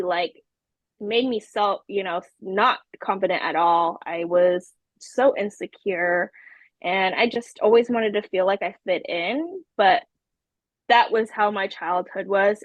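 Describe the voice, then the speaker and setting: A woman's voice: mid-range voice, no echo, muffled recording. A woman who is a life coach, talking in a bubbly, chatty way as a podcast guest and laughing often. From San Jose, California. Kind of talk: casual interview chat.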